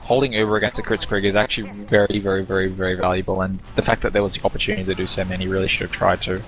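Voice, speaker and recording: A man's voice: low voice, level tone, racing, a bit bright, rough recording. A man casting an online team shooter match, breaking down plays in an analytical, stop-start way. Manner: analytical, stumbling restarts. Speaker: esports caster. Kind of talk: game commentary.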